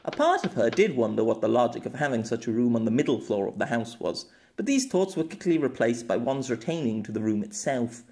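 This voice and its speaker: Animated male audiobook narrator. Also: mid-range voice, brisk, hardly any pauses. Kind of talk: scripted storybook narration, expressive.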